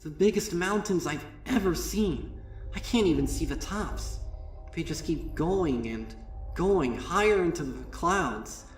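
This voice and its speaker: A man voicing a female winged pony, awestruck and terrified, speaking in halting, breathless bursts. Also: fairly high pitch, expressive. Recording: echoey, distant, music behind.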